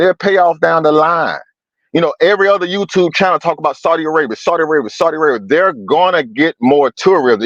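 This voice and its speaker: A man's voice: on the high side, no room sound. Man pushing back over dull call audio. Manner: pushes back, builds argument with examples. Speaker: panelist. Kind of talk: live-stream panel debate.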